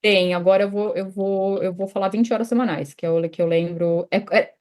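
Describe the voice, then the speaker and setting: A woman's voice: medium pitch, fairly even intonation, brisk, darkish tone. A woman from Brazil in her thirties, a guest in a casual interview, talking chattily with many restarts and fillers. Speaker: guest, immigration consultant.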